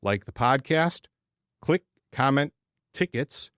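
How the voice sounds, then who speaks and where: A man's voice: medium pitch, lively intonation, takes it slowly, fairly bright tone, smooth, no room sound, clean studio sound. A man in his sixties hosting a podcast, talking casually in a blunt, conversational way. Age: 60s. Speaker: podcast host. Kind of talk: casual podcast chat and personal testimonial.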